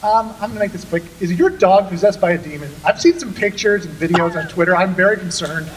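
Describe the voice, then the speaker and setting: A man's voice: sits high, fast. A man in the audience casually asking questions at a live fan panel. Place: convention panel room, indoors. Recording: distant mic, noisy convention room.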